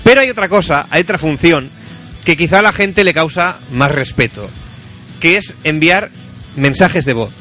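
A man from Spain hosts a joking radio chat in a high, forceful, bright voice. He is playful and teasing, given to exclamations. Music plays behind him. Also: lively intonation, moderate pace. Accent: Spanish from Spain. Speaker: radio show host.

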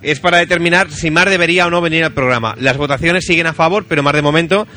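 A man from Spain hosts a joking radio chat in a high, forceful, bright voice. He is playful and teasing, given to exclamations. Music plays behind him. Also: fast talker. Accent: Spanish from Spain. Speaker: radio show host.

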